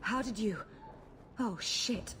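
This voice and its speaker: A woman's voice: fairly high pitch, slow pace, over music. A woman playing a sly, seductive courtesan and blood mage in a video game, with a languid, coy manner. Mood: frightened, pleading. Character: seductive, sly female courtesan who practises blood magic.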